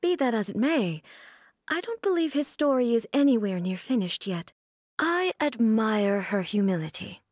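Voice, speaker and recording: Regal warrior goddess, calm and reserved, slightly high, dry studio read. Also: female voice, normal speed, no echo. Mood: composed. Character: regal female warrior god, composed and stern. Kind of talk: game character voice line.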